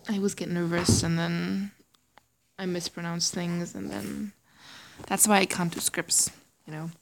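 A young German woman with a light German accent, chatting casually and giggly, often slipping into a soft whisper. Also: lowish voice, level tone, measured pace, creaky, a little hiss or hum. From Germany, raised in Singapore. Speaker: audio creator. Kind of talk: casual chat reading word lists.

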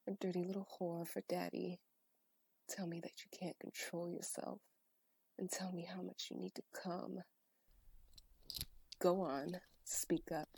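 A woman in a scripted adult audio roleplay, speaking as a dominant partner in a breathy, teasing, commanding whisper. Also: lowish voice, a little mellow, no echo, rough recording.

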